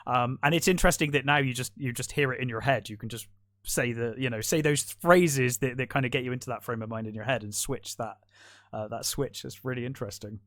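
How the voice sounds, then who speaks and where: A man's voice: medium pitch, fairly quick, a bit bright, dry recording, studio quality. A man hosting a podcast, interviewing in a casual, conversational and thoughtful way, often rephrasing as he goes.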